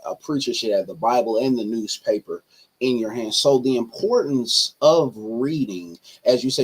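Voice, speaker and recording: Husky-voiced man hosting an interview. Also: medium pitch, rough recording. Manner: affirming, respectful, quick "yes, sir" replies. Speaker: pastor and interview host. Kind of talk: conversational interview.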